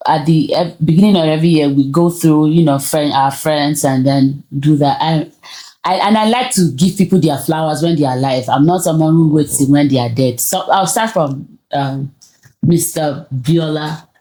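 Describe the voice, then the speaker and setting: A Nigerian woman hosts a relaxed, conversational talk. Her voice sits low for a woman. She speaks earnestly, often pausing and repeating words as she finds her way through a thought. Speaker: host of a talk show. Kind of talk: casual conversational hosting.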